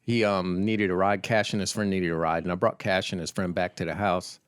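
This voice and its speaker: Halting, low-voiced man in his fifties. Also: flat delivery, clean tone. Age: 50s. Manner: halting storyteller, trailing fillers and restarts, dry. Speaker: podcast co-host. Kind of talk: casual chat.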